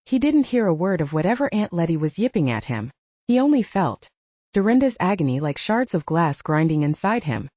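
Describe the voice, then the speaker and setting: Female audiobook narrator, dark soft tone, lively intonation, scripted fiction read. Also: fairly low pitch, a little fast, no echo, highs cut off.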